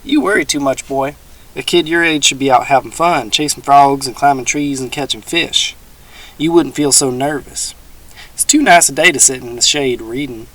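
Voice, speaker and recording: A man voicing a gruff, menacing old male swamp hermit with a folksy backwoods drawl. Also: medium pitch, normal speed, a bit bright, close to an everyday voice.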